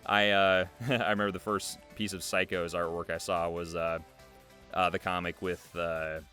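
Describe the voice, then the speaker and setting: A man's voice: fairly low pitch, a bit bright. A man hosting and reading out an interview in an upbeat, scripted narration style, often chuckling between lines. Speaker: host reading an artist's written interview answers. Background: background music.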